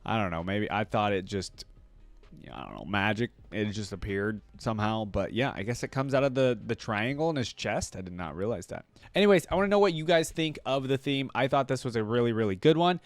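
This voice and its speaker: A man's voice: fairly low pitch, animated, fairly quick, no room sound. A man hosting a reaction video, rambling conversationally and musing aloud in casual commentary. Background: game music.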